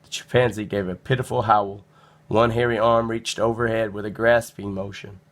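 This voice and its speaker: A man narrating a children's storybook in an expressive read-aloud style. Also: on the low side, moderate pace.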